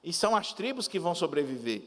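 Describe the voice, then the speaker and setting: Male pastor, high register, unhurried teaching sermon, long church reverb. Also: big pitch swings, a bit bright. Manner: deliberate, repeats and restates points, explanatory asides.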